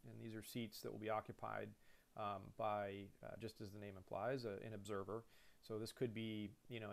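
A man in his thirties, an airline pilot, talks through a cockpit layout in a relaxed, conversational way full of fillers and restarts, recorded at a computer. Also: lowish voice, creaky. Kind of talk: casual screen-share lesson. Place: indoors at a computer.

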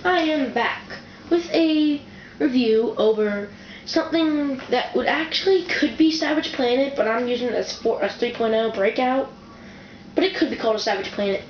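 A woman's voice: fairly high pitch, normal speed. A woman reviewing a building toy she built, speaking in a casual, rambling way from off camera, often correcting herself mid-sentence. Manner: rambling, self-correcting, excitable. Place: indoor room with a wooden floor, off camera. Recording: dull, echoey home camera recording with faint hiss.

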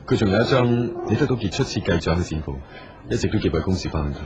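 An earnest, low-voiced businessman. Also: animated, rapid-fire. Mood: apologetic, earnest. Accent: Hong Kong Cantonese. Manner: polite, solicitous. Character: earnest, attentive businessman, loyal and persistent. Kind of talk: radio drama acting. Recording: dull audio with music underneath.

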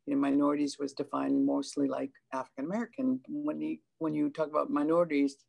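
Gravelly-voiced older woman on a video call. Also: low voice, level tone, fast talker, a little mellow. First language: Chinese. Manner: earnest, frequent hesitations and restarts. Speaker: panelist. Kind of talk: personal account on a panel. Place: home room, indoors.

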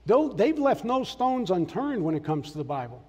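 A man's voice: sits high, very animated, normal speed. An older man from Massachusetts preaching a sermon on a church stage, giving earnest, plainspoken personal testimony. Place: large church sanctuary stage. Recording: long hall reverb, soft music behind.

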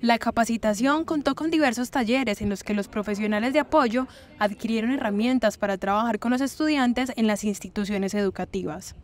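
A woman's voice: on the high side, brisk, hardly any pauses. A woman reading a scripted news report as a voice-over.

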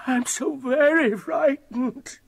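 An actor playing an ailing, frightened old uncle in a radio drama, speaking haltingly and in anguish with a wandering mind. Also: male voice, extremely high pitch, vocal fry, exaggerated voice. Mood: despairing, pleading. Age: older.

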